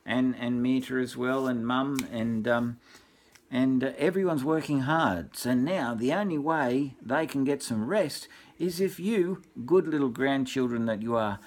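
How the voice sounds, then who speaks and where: A man's voice: medium pitch, unhurried. An older Australian man, a grandfather, reads a storybook informally, wandering off into jokey, repetitive asides. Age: 60s or older. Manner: jokey, rambling, repeats himself with deadpan asides. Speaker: grandfather reading a bedtime story.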